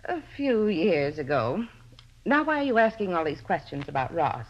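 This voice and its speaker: A woman's voice: on the low side, big pitch swings, normal speed. A woman playing a talkative, vain older landlady who once acted, theatrical and self-important, in a radio drama. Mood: proud, nostalgic. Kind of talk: 1950s radio drama acting. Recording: muffled old-time radio recording.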